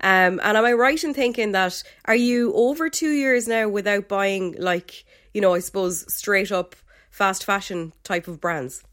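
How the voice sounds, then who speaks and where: An Irish woman hosting a podcast in a chatty, enthusiastic way, her voice a little croaky from a head cold. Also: mid-range voice, unhurried, fairly bright tone, no echo, clean studio sound. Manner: chatty and enthusiastic, with filler words. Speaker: podcast host. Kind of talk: casual podcast chat.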